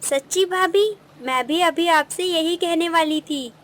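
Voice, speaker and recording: A woman playing a frightened but indignant young village woman in animated moral-story dialogue, urgent and protesting. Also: very high-pitched, unhurried, pitched above the performer's own voice. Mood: cheerful.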